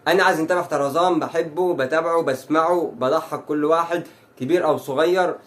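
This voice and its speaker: A man's voice: fairly high pitch, fast, a bit bright. A man in his twenties with an Egyptian Arabic accent, a YouTuber answering fan questions to camera in a chatty, brash way. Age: 20s. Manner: chatty and brash, rattles off lines. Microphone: phone.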